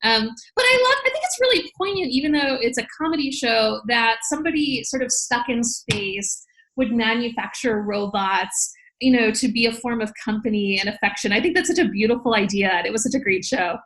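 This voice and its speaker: A woman speaking as an interview guest, an academic explaining things conversationally in an animated way, quick to laugh. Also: on the high side, poor audio. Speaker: podcast guest, law professor.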